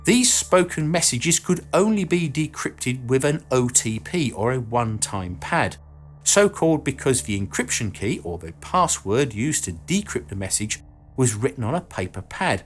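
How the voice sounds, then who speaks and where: A man narrating a scripted explanatory documentary in a measured, informative manner. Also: mid-range voice, very animated. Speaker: documentary video narrator and presenter.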